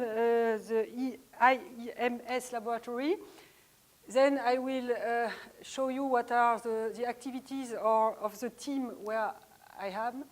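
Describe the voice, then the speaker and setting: A middle-aged woman from France with a French accent, giving an academic lecture in a hesitant way with many pauses. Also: a little high. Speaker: guest lecturer.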